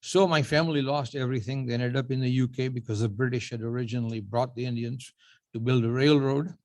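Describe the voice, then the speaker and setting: A man in his seventies from Uganda giving a prepared acceptance speech, telling his story in a measured way with dry, self-deprecating humor. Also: mid-range voice, fairly even intonation, darkish tone. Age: 70s. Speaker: award honoree giving a speech.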